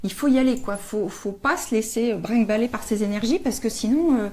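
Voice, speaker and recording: A woman's voice: on the high side, a little mellow. A woman co-hosting a live stream, chatting casually and encouragingly, agreeing often. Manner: agreeable, encouraging, quick to laugh. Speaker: co-host.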